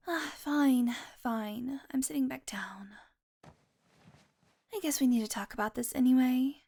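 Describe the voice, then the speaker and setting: A sardonic vampire woman, acted by a female performer speaks in a fairly high voice. She is haughty and teasing, with exasperated sarcasm aimed straight at the listener, in the manner of an audio roleplay. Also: creaky, darkish tone.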